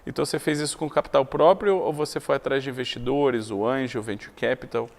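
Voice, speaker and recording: A man's voice: mid-range voice, fairly even intonation, fast, vocal fry. A man hosting a business interview, asking direct questions with hesitant restarts. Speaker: interviewer, host.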